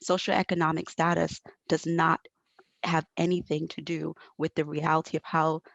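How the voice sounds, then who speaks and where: A woman's voice: low-pitched, flat delivery, normal speed, creaky, no echo. A woman from Barbados speaking as an expert panelist, articulate and emphatic, repeating phrases for weight. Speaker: panelist, mental health professional. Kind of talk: panel discussion, explanatory advocacy.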